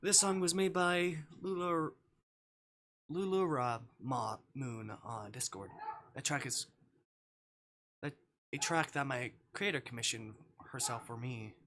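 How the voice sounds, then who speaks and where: A man chatting casually on a game livestream, thinking aloud in a halting way. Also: medium pitch, a bit bright, a little hiss or hum. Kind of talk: casual livestream chat while playing a game.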